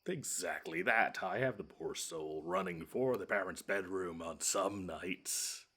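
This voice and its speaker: A man's voice: sits low, a little slow, gravelly, bright, forceful tone, slightly rough, heavily acted. A man voicing a smug, theatrical monster from under the bed, menacing and easily flustered, in an audio roleplay. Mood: incredulous, haughty. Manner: theatrical, taunting, haughty. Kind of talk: scripted one-sided audio roleplay.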